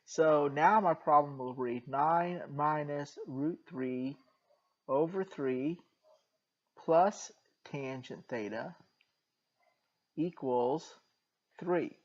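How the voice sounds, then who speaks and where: A soft-spoken man with a slightly high voice walks through a math problem at a slow, careful pace and slips in dry jokes. The recording sounds dull and lo-fi, like a basic home lesson video. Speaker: math teacher.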